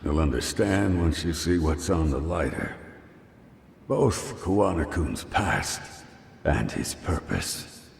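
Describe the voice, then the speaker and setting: Mysterious informant, super low and slow, grave, echoey reverb, video game cutscene. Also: male voice, big pitch swings, creaky, heavily acted. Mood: cryptic, knowing. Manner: slow, portentous. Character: cryptic, grave male informant speaking in riddles. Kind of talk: English video game dub cutscene acting. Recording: echoey reverberant flashback with faint noise.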